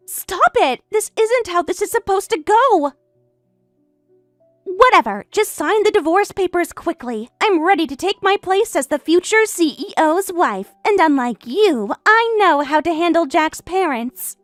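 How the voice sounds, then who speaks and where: A woman playing a shrill, smug home-wrecker. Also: lively intonation, unhurried, no room sound, clean studio sound, soft background music. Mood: boastful. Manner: taunting, boastful, indignant. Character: smug, catty young woman who stole her best friend's husband. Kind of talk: voiced story drama.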